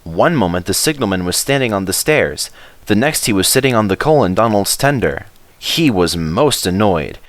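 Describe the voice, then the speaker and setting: A man's voice: lowish voice, expressive, moderate pace, dry recording. A man reading a children's story aloud as scripted narration.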